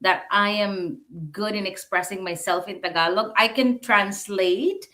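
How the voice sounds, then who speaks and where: Filipina radio host lady, bisaya native, chatty, slow pace, really bright voice, filipino accent. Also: medium pitch. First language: Bisaya (Waray). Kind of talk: casual live talk show hosting with Tagalog mixed in.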